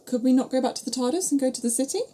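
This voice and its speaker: Sceptical sidekick , acted by a woman. Dark, husky tone, teasing and questioning in sci-fi audio drama. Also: high voice, rapid-fire. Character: wry, sceptical female travelling companion.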